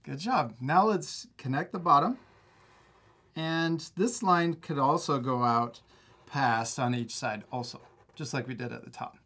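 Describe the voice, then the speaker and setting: A man's voice: medium pitch, a bit bright. Encouraging man teaching kids to draw. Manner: upbeat, encouraging, explains slowly. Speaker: drawing teacher. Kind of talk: step-by-step kids' drawing lesson. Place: art studio.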